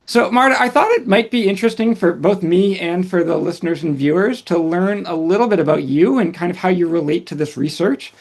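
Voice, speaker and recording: High-voiced friendly man hosting. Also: fairly quick, hardly any pauses. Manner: friendly and enthusiastic. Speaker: interviewer host. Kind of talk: podcast interview introduction.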